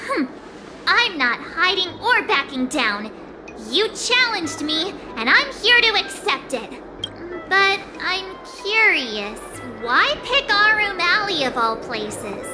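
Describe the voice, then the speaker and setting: A woman's voice: very high voice, very animated, slow pace, over music, exaggerated voice. An actress playing a plucky, confident young rookie swordswoman in a video game, spirited, cheeky and defiant. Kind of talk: animated video game voice acting.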